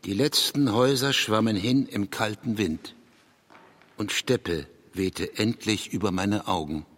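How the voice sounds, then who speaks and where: An actor playing a brooding, hesitant male writer in a literary radio drama, with a husky voice. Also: on the low side, measured pace, a bit bright. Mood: solemn, intense. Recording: long hall-like reverb.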